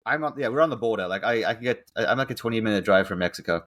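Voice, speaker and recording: Low-voiced man with blended southern-hemisphere accent. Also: lively intonation, racing, fairly bright tone. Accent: blend of South African, New Zealand and Australian. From South Africa, later New Zealand and Australia. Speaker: podcast host. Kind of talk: casual interview chat.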